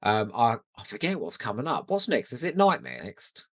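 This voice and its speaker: A British man chats casually as a podcast co-host, with lively, swinging intonation at a medium pitch. He is enthusiastic and giggly in manner. The sound is dry, with no room to it. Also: normal speed, slightly rough, dry recording. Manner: animated, giggly, gushing enthusiasm. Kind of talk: casual pop-culture chat.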